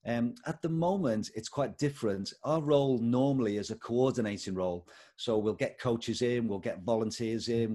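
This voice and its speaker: A man's voice: mid-range voice, a little mellow. An older man with a Liverpool accent, chatting as an interview guest about his life and work. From England. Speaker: guest, schools coordinator. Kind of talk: casual interview chat.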